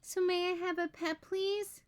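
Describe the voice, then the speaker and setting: A woman's voice: extremely high pitch, level tone, unhurried, pitched above the performer's own voice. A woman voicing an eager, polite young boy in a children's picture book, speaking earnestly and simply. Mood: pleading.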